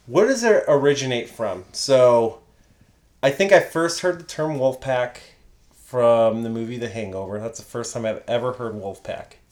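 A man's voice: medium pitch, moderate pace, darkish tone. Joking man with a Chicago accent. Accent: Chicago with a Polish tinge. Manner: playful, joking, asks lots of questions. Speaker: podcast co-host. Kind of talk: casual sports chat.

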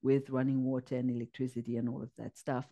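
A woman from Zimbabwe speaks as a podcast guest, with a warm, mellow tone. She is earnest and searches for her words, filling her pauses with fillers. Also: rumbling low, flat delivery, lo-fi sound. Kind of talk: casual interview, personal story.

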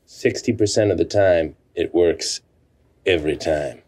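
A man's voice: low voice, a little slow. An actor playing a smug, self-assured grown man who speaks with confident, matter-of-fact boasting.

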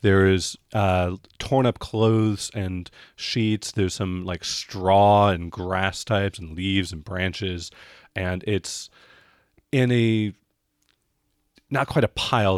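A man with a fairly low voice works as game master in a tabletop role-playing game. He builds his descriptions haltingly as he goes, in a dry, close recording. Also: fairly even intonation, no echo.

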